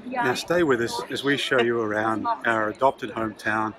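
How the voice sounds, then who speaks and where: A man's voice: medium pitch, moderate pace, a crowd in the background. A middle-aged Australian man hosting a travel vlog, casually giving practical tips.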